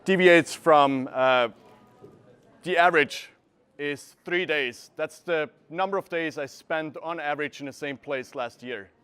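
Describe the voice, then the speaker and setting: A young man from Switzerland, giving an earnest presentation and often pausing to search for words, recorded from a camera across a room. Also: a little high, a bit bright, smooth. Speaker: speaker. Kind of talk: presentation talk. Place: gallery room with a seated audience.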